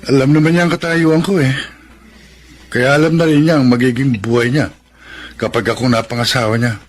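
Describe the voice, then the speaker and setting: An actor with a Filipino accent playing a practical, working-class young suitor in a radio drama, with an earnest, pleading manner. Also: male voice, mid-range voice, fairly even intonation, brisk, slight background noise, lightly acted. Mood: defensive. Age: young adult. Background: faint music.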